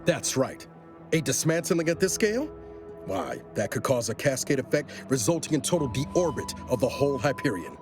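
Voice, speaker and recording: A man's voice: medium pitch, sing-song, moderate pace, over music, lightly acted. A man playing an observant, technically minded companion in a video game, talking in a conversational, matter-of-fact way. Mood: concerned.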